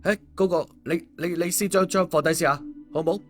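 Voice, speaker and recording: A man voicing an excitable, cowardly, complaining man in an audiobook. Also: sits high, brisk, faint music behind, lightly acted. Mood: frightened pleading. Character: excitable, cowardly, wheedling man who protests loudly. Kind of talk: audiobook character dialogue.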